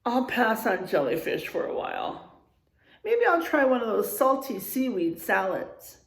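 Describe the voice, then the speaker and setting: Woman voicing an excitable, animated male sea turtle. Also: fairly high pitch, unhurried, creaky, heavily acted. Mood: reluctant. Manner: expressive, exclamatory. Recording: echoey room sound.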